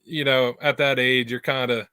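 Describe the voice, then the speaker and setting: Twenty-something guy from pennsylvania on a video call, bright voice, super chill, lots of um and you know. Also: mid-range voice, steady tone, moderate pace, clean tone, dry recording, studio quality. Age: 20s. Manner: laid-back, with fillers. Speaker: guest. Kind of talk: casual chat.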